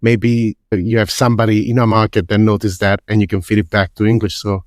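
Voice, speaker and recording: Male podcast host, quick and chatty, middle pitch, dry sound. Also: level tone, dry recording. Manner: quick and chatty, many "like" fillers. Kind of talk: casual interview talk.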